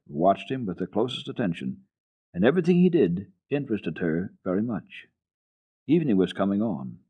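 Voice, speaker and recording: A man reading a children's story for radio in an expressive, deliberate, theatrical way. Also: low voice, slightly rough, phone-quality sound.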